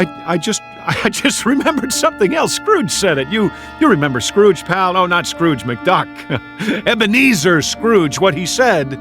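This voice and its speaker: Lonely amnesiac airman, high animated voice, halting wry self-talk, agitated edge, radio drama, music underneath. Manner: rambling to himself, halting, wry joking turning agitated. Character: lonely, distressed amnesiac Air Force man, talking to himself.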